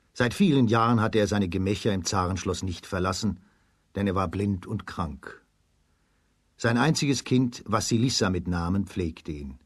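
A man narrates a children's fairy tale from a script. His voice is low and husky, recorded very dry and close. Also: no echo.

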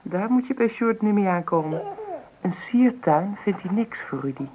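A chatty young mother in a radio drama, played by a woman, fond yet a little exasperated, her voice lively and varied in pitch. It comes through thin and tinny, with faint noise. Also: normal speed, lightly acted. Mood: fond, mildly scolding. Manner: chatty, mildly scolding. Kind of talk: Dutch radio play acting. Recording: tinny, slightly noisy old radio recording.

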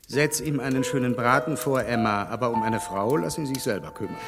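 Man acting sensible gentleman in a radio play, dry and frank, mid voice, loud music under him. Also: mid-range voice, fairly quick, creaky, lightly acted. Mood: courteous, teasing.

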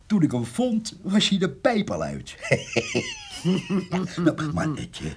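An actor playing a sly, jovial country poacher with a husky voice and a folksy, drawling way of talking in a radio play. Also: male voice, high-pitched, wildly swooping pitch, slow pace, slight background noise, exaggerated voice. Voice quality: gravelly, husky. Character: sly, jovial old male poacher, gossipy country rascal. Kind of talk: radio drama acting.

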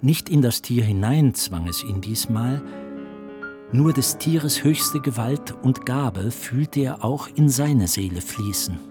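A man's voice: mid-range voice, animated, slow. Male narrator, literary radio play, takes his time, bit of music behind.

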